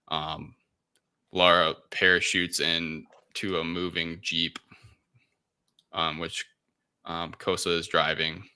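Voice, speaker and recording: A man with a very low, fry-laden voice and a strikingly bright, forceful edge hosts a casual podcast. He retells events conversationally, often pausing and searching for the right words. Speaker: podcast host. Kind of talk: casual spoken movie plot recap.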